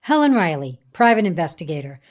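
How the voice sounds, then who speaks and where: A woman's voice: lowish voice, sing-song, brisk. A woman playing a businesslike private investigator in an audio drama, formal and matter-of-fact in a clipped way. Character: brisk, businesslike female private investigator delivering news.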